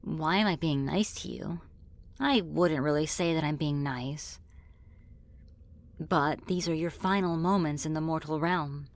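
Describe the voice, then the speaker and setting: Woman playing a lower-voiced, teasing friendly demon. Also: a little slow. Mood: gently teasing. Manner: casual, teasing, politely menacing. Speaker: roleplay voice performer. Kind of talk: ASMR roleplay, scripted one-sided dialogue.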